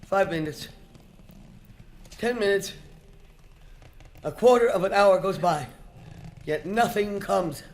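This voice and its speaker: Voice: medium pitch, slow pace, long pauses. An actor playing a humbled, anxious old miser in a live radio drama, speaking with earnest deliberation.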